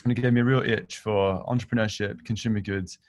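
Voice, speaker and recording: A man's voice: lowish voice, steady tone, fairly quick, darkish tone, lo-fi sound, a little hiss or hum. A middle-aged man with an Australian accent, giving an informal presentation as a guest speaker. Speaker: guest speaker, business founder and session lead. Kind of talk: informal presentation telling own career story.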